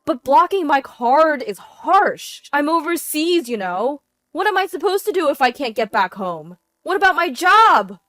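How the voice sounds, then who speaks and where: A woman voicing a blustering, desperate cheating husband who stammers loudly in a dramatized story. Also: on the high side, lively intonation, measured pace, hardly any pauses, no echo, pitched above the performer's own voice. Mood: desperate pleading. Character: panicky, blustering cheating husband, adult man.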